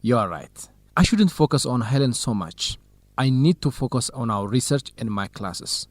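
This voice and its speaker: Man acting as an earnest lovesick student researcher guy, radio drama, lots of pitch movement, dry room. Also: lively intonation, normal speed, dry recording, natural voice. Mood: earnest, doubtful. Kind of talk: scripted educational radio drama dialogue.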